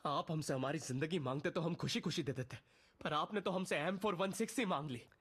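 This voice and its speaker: A man's voice: fairly high pitch, a little fast, fairly bright tone, husky. A man voicing a cocky, mocking battle-game fighter, delivering theatrical taunting one-liners. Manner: theatrical, taunting, filmi punchlines.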